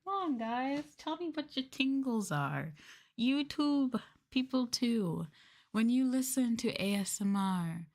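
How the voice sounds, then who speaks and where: A woman's voice: on the high side, very animated, takes it slowly, a little mellow. A woman streamer chatting casually on an ASMR livestream, playful, giggly and a bit hesitant, close to the mic. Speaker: VTuber streamer.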